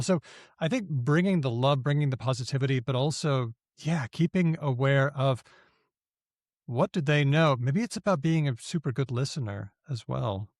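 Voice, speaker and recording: Male podcast host, upbeat and informal, slightly higher voice, dry close recording. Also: dry recording. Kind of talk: casual interview chat.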